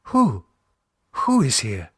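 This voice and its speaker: A man voicing a calm, concerned woman in a horror audiobook, gently and patiently reasoning with a madman. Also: mid-range voice, slow pace, slightly rough, full character voice. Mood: puzzled. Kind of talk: audiobook character voice.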